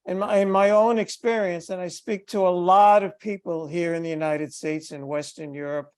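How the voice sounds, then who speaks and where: A man's voice: high voice, steady tone, normal speed, darkish tone, clean tone. An older man speaking insistently as an advocate in an online meeting, heard through a headset mic. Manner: insistent, emphatic. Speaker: advocate for older people with HIV. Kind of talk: video call meeting discussion. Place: bright room indoors. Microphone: headphones on a video call.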